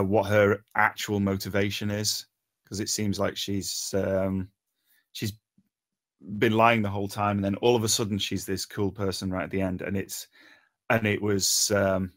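A man giving informal spoken feedback in a hesitant, halting way with many ums, on an online call. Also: lowish voice, level tone. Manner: hesitant, halting, frequent long pauses and ums. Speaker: table read participant giving script feedback.

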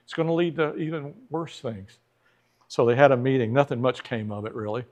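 A man's voice: mid-range voice, fairly quick, darkish tone. Middle-aged man teaching a church class. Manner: conversational, asks the class questions, acts out quoted opponents. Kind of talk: church history lecture.

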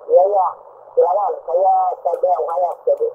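A man chatting casually as a CB operator, heard through a two-way radio. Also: sits high. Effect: two-way radio transmission. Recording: narrow, noisy CB radio reception.

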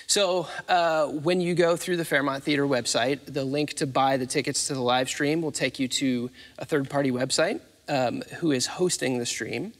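A man in his thirties speaks as an interview guest, explaining in an informal way. His voice has an ordinary male pitch and carries the long reverb of a big theatre. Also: normal speed, hardly any pauses. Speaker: interviewee, theatre facilities manager. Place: large dark theatre stage, indoors. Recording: echoey hall reverb.

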